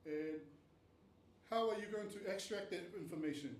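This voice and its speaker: A man's voice: high-pitched, normal speed. A man who grew up in Tonga, lecturing on a panel about research stages, earnest and explanatory, often asking rhetorical questions. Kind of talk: academic panel presentation. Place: panel venue room. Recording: roomy, distant mic, faint noise.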